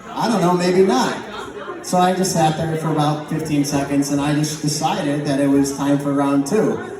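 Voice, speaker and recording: Young male amateur comic, slightly high voice, loose anecdotal delivery, recorded from far off in a very echoey room. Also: fairly even intonation, moderate pace. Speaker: amateur stand-up storyteller. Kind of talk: rambling anecdotal stand-up comedy storytelling. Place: large echoey indoor space with live audience. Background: audience laughter and chatter.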